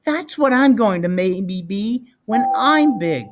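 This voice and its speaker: A man's voice: sits high, expressive, takes it slowly, pitched above the performer's own voice. A man voicing a curious, blunt little boy with an inquisitive, childlike way of talking in a storybook. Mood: puzzled, defensive. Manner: inquisitive, childlike, plain-spoken.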